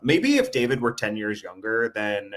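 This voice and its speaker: A man's voice: lowish voice, big pitch swings, moderate pace, fairly bright tone. A man on a podcast as a guest, talking casually and hesitantly with lots of fillers. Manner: hesitant, many fillers and restarts. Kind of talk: casual chat.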